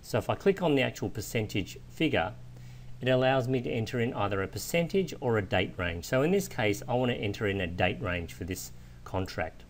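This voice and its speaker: A man giving an informal, step-by-step software walkthrough, explaining as a screencast instructor. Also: medium pitch, animated, a little fast, a little hiss or hum. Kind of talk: step-by-step screencast tutorial narration.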